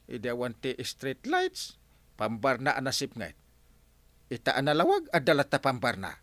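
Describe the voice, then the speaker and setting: A man's voice: mid-range voice, huge pitch swings, normal speed, fairly bright tone, no room sound. A man playing a nosy, incredulous village friend with an Ilocano accent, exclaiming in a radio comedy drama.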